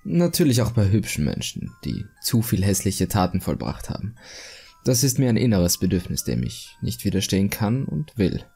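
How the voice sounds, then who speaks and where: Man acting a gravelly, smug sculptor killer. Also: on the low side, sing-song, warm tone, soft background music, full character voice. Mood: calm menace. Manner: measured, confiding, coolly self-righteous. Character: self-satisfied murderous male sculptor obsessed with beauty, calmly cruel. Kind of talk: German creepypasta horror monologue, dramatic reading.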